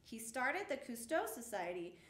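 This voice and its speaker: A woman with a high voice reads a children's story aloud in a roomy, echoey space. Her phrasing is measured and expressive, shaped for young listeners at storytime. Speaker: storyteller reading a picture book aloud.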